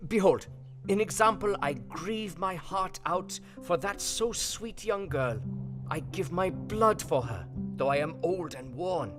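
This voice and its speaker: Old professor, slow and swooping. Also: male voice, sits high, expressive, over music. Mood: impassioned, grieving. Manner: theatrical, broken English phrasing. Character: old foreign professor, grave, emotional, philosophical. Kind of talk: audio drama acting.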